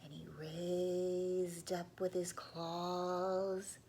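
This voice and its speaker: A woman telling a story to young children in an expressive read-aloud style, often dropping into hushed asides to her listeners. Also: lowish voice, extremely slow, a little hiss or hum.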